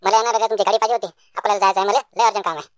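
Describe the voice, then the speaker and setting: A woman's voice: mid-range voice, fairly even intonation, rapid-fire, dry recording, clean studio sound, lightly acted. A woman voicing a cowardly, cadging young village man in a comedy cartoon, whiny and colloquial, with a Varhadi Marathi accent. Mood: wheedling.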